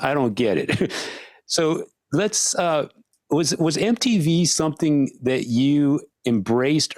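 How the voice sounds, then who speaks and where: Podcast host, male, mid-pitched, eager, laughs easily, close dry mic. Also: darkish tone, no room sound. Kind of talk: casual interview.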